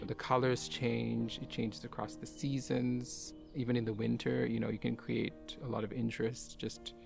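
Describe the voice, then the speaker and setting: A man who grew up in Kuwait, a garden owner and artist, talking in a soft-spoken, musing way with light laughs. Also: medium pitch, flat delivery, moderate pace, creaky, over music. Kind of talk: reflective personal talk for a garden video.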